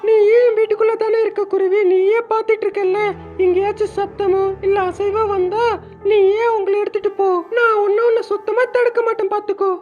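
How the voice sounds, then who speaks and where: Man putting on a female cartoon parrot, very high shrill pitch, nervous, chattering, pleading, music behind. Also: little pitch movement, brisk, dull audio. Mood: nervous, placating. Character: frightened, chatty female parrot, friend of a ghost.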